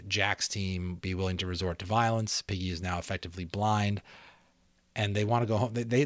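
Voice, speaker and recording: A man hosting an audiobook reading, giving informal, reflective commentary in a halting, thinking-aloud way. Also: sits low, no echo. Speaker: audiobook reader and host. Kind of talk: informal commentary and expressive story narration.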